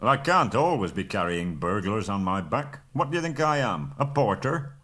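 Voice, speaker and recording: A man voicing a grumbling, put-upon male dwarf who complains loudly and indignantly in a storybook reading. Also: lowish voice, animated, moderate pace.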